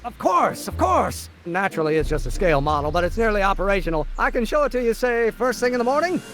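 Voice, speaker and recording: An actor playing an excitable, earnest young American inventor in a video game, with a theatrical, formal and eager delivery. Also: male voice, sits high, fast. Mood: eager, deferential.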